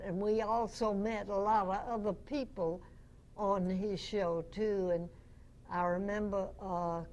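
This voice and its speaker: A woman in her nineties, a veteran actress, reminiscing before a club audience in a folksy, halting, chuckling way. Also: mid-range voice, a little mellow. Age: 90s. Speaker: veteran radio actress, guest speaker. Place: club meeting room. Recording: noisy amateur meeting recording.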